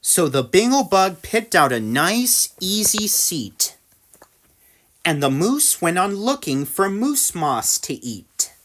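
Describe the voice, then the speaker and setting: A woman narrates a rhyming storybook aloud. Her voice is low and unhurried, with a lively, expressive rise and fall. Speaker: storybook reader.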